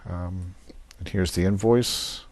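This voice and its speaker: A man giving an unscripted, matter-of-fact software walkthrough, going methodically step by step as a demonstrator on a screen recording. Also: low-pitched, fairly even intonation, slow pace, no echo.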